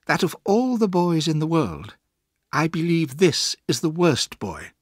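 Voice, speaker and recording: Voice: medium pitch, sing-song, unhurried, no room sound, studio quality, voice pushed up. A narrator voicing a falsely sweet older woman, a disapproving villainess in a storybook reading, prim and condescending. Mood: spiteful.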